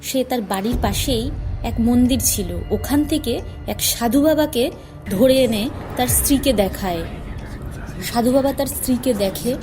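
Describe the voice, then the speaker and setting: A woman's voice: fairly high pitch, unhurried. A woman narrator reading a scripted horror story for a cartoon. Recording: music under the voice.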